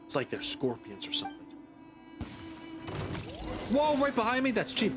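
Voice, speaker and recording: A man's voice: sits high, wildly swooping pitch, moderate pace, over music. A man streaming a video game with casual, informal commentary, reacting aloud as he plays. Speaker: gaming streamer.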